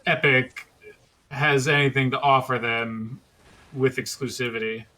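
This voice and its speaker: Bright-voiced man in casual discussion. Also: medium pitch, steady tone, unhurried, a little hiss or hum, poor audio. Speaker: podcast co-host.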